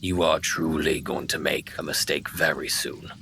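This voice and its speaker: Fantasy game dragon: ancient, grumpy, proud. Very deep creaky growl, dismissive delivery. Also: male voice, little pitch movement, moderate pace, sharp tone, a little hiss or hum, exaggerated voice. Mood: menacing, proud. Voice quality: gravelly.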